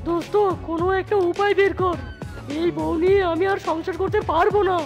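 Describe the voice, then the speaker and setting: Casting: fed-up cartoon critter husband, squeaky high pitch, lamenting and theatrical, music behind. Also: female voice, steady tone, fairly quick. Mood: plaintive. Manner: dramatic, lamenting. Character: fed-up cartoon animal husband, plaintive, dramatic complainer. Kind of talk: cartoon story acting.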